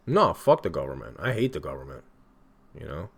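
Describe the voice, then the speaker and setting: A man's voice: very deep voice, brisk, vocal fry, slightly rough. A man from Iran hosting casual online chats, talking bluntly with lots of swearing. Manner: blunt, profane, animated. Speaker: host of online video chats. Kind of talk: casual debate chat.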